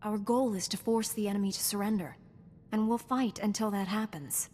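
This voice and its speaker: An actress playing a calm, principled Jedi warrior in a video game, measured and serious. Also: female voice, medium pitch, big-room sound, close to an everyday voice. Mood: earnest. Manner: measured, serious, gently admonishing.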